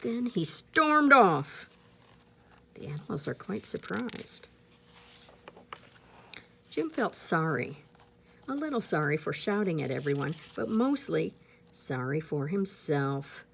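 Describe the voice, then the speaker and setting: A woman reads a picture book aloud to children. Her delivery is slow and very expressive, with lots of melodic movement and casual asides to the listeners. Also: sits low, creaky, a little hiss or hum. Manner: expressive, talks directly to young listeners. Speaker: storybook reader.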